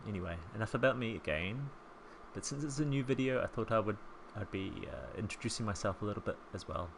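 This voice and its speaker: A man in his thirties with a soft New Zealand accent, chatting casually as a voice-over while he builds a home in a life-sim game, rambling and self-deprecating. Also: on the low side, lively intonation, quick pace, creaky, background noise. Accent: soft New Zealand, softened by years in England. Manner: chatty, rambling, self-deprecating, with frequent ums and small laughs. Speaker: Sims speed-build creator.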